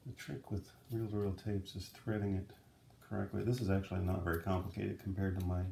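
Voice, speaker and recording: A man's voice: low-pitched, level tone, a little fast, vocal fry, dark tone, a little hiss or hum. A middle-aged man giving a casual, unscripted demonstration, talking conversationally and thinking aloud as he handles things. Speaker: vlogger, vintage audio hobbyist. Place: room at home, indoors.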